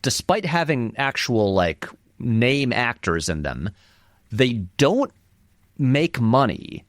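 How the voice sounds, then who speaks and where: A man's voice: medium pitch, dry recording, studio quality. A man giving casual, conversational film commentary as an interview guest, often hedging and qualifying his points.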